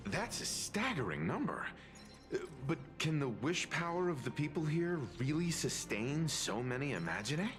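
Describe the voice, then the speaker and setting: A thoughtful gentleman. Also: fairly high pitch, sing-song, soft background music. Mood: impressed. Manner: measured, questioning. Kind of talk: video game voice acting.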